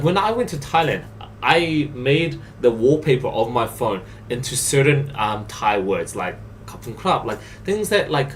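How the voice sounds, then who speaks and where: A man from South Korea talking casually and bluntly on a podcast, emphatic and repeating his points. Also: mid-range voice. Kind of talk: casual opinionated podcast talk. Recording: slightly distant mic, faint noise.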